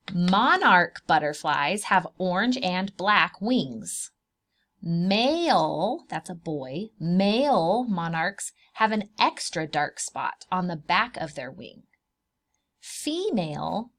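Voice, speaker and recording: A woman hosting a read-aloud lesson for preschoolers, explaining things simply and expressively and putting questions to her young listeners. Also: lowish voice. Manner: expressive, emphasizing key words for small children. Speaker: children's read-aloud host and preschool teacher.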